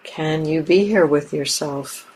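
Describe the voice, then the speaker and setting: A woman leading a guided meditation as a teacher, speaking gently and haltingly in short, softly inviting phrases. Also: low-pitched, breathy edge, low-quality recording. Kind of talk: guided meditation, unscripted and spoken in pauses. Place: meditation center talk.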